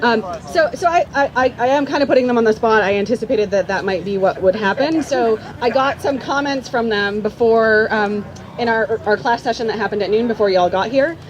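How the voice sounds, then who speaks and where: Higher-pitched woman hosting outdoors. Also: a little fast, lo-fi sound. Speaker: academic host and teacher. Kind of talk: informal spoken address to a live audience. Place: outdoors at a campground. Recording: outdoor public address with background noise. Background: faint outdoor ambient noise.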